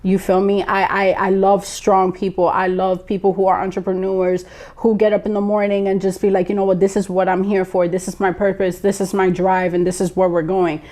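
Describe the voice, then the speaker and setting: Young female guest from Lawrence, Massachusetts; animated, blunt, slangy storyteller. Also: medium pitch, brisk, hardly any pauses. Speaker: guest, former radio co-host. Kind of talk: casual chat.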